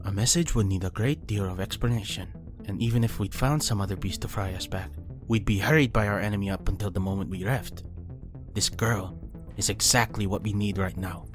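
A composed male ninja, played by an actor in a fantasy audio drama. He speaks fairly low, measured and practical, with music clearly behind him. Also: lightly acted. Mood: pragmatic. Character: calm, pragmatic male ninja companion.

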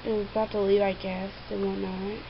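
A woman's voice: medium pitch, little pitch movement, a little fast, gravelly. A young woman chatting casually into a handheld camera at home, rambling with lots of fillers. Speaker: vlogger. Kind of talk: casual daily vlog chat. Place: small bedroom and bathroom at home. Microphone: handheld camera at arm's length. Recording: lo-fi camera audio with loud hiss.

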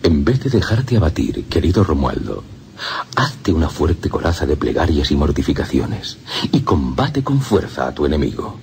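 Casting: stern sententious abbot, bottomless creaky voice, radio play. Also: male voice, a bass voice, wildly swooping pitch, normal speed, darkish tone, slight background noise, full character voice. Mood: grave, admonishing. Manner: solemn, sententious, preaching. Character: stern, solemn, watchful abbot admonishing a younger priest. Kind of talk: radio drama acting.

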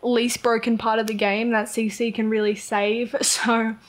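A woman's voice: a little high, flat delivery, a little slow. A young Australian woman chatting casually over a life-simulation game, rambling with lots of "like" and small laughs. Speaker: Sims content creator. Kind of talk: casual chat over gameplay. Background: rain heard outside.

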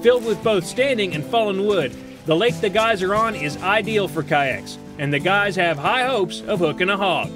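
A man reads the show's voice-over narration in an upbeat announcer style. His voice sits high for a man, and guitar music plays loudly under him. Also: animated, normal speed. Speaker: show narrator.

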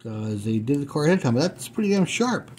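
A man's voice: fairly high pitch, big pitch swings, quick pace, a little mellow, a little hiss or hum. A middle-aged man chatting casually as he unboxes old toy cars at a table at home, thinking aloud as he handles each one. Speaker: toy car collector unboxing.

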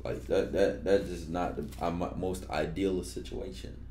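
Young guy on a headset reading a book to his chat, lowish voice, roomy echo, laid back and slangy. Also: unhurried, slight background noise. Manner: relaxed, slangy, loud outbursts when joking. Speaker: streamer. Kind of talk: reading a book aloud on stream with casual asides. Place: bedroom streaming setup.

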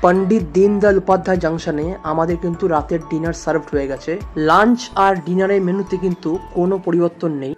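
Calm Bengali-accented travel narrator. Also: mid-range voice, fast, faint music behind. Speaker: voice-over narrator.

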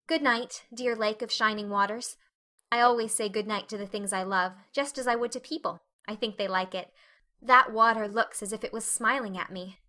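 A woman acts out a dreamy, talkative girl of eleven from a storybook. Her voice is high and bright. She gushes in a breathless, wide-eyed way. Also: steady tone, normal speed. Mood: dreamy, delighted. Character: dreamy, chatty, excitable eleven-year-old orphan girl. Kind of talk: dramatic audiobook reading.

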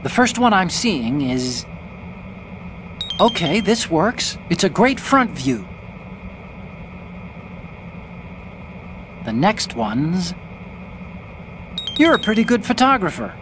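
An actor playing an earnest, nerdy young American hacker sidekick in a video game, chatty and explanatory. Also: male voice, high voice, big pitch swings, takes it slowly, long pauses, full character voice. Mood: approving. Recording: loud background noise.

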